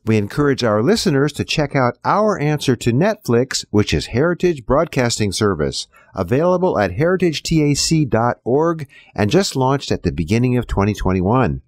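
Animated male announcer, lively intonation. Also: medium pitch, normal speed, few pauses, dry recording, clean studio sound. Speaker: announcer introducing the show. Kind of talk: scripted promotional announcement.

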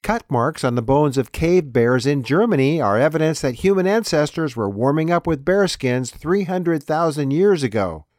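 A man's voice: fairly high pitch, a little mellow, no room sound, studio quality. A man delivering scripted announcements and headlines as the host of a program.

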